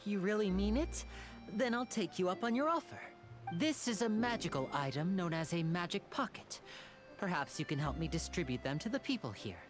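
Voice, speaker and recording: A woman's voice: lowish voice, expressive, moderate pace, faint music behind, natural voice. A woman playing a suave, courteous young male stage magician in an anime video game, polished and theatrical. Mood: gracious, inviting.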